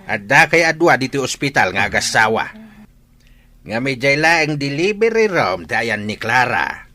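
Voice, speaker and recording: An actor playing a lively, plain-spoken, fatherly older man in an Ilocano audio drama. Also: mid-range voice, moderate pace. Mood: animated, urging. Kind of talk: Ilocano radio-style audio drama acting.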